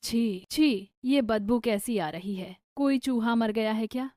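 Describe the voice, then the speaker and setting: A woman's voice: a little high, slow, a little mellow, no room sound, studio quality. A woman voicing a pious middle-aged Indian mother-in-law who scolds in dramatic, scandalized exclamations for a moral-story cartoon. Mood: disgusted. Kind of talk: animated moral-story dialogue.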